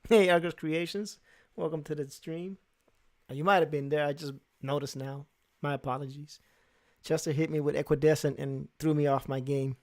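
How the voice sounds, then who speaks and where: Guy hosting a chill stream, kinda high voice, joking around and laughing a lot. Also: steady tone, moderate pace. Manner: joking, teasing banter with frequent laughs; talks to chat and guests while they draw. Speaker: live-stream host. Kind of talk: casual live-stream chat.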